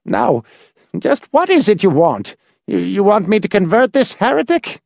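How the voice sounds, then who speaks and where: A man's voice: high voice, very animated, normal speed, vocal fry, darkish tone, no room sound, clean studio sound, voice pushed up, full character voice. A man voicing a tiny, frail old man who is suspicious and cranky, with a thin, quavering, whining voice. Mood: grudging. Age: older. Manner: fretful, snappish.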